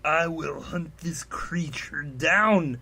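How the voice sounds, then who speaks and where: A man's voice: on the high side, takes it slowly, gravelly, bright, forceful tone, breathy edge, full character voice. A man playing a stern guardian of a magical school, growling grave commands in a dramatic story reading. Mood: grim, commanding. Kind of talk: dramatic fan fiction reading.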